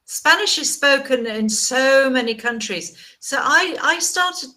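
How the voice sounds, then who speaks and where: Woman, online English tutor, bright tone, encouraging, repeats herself. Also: high voice, expressive, slow pace. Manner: encouraging, chatty, repeats words. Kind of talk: casual video-call chat.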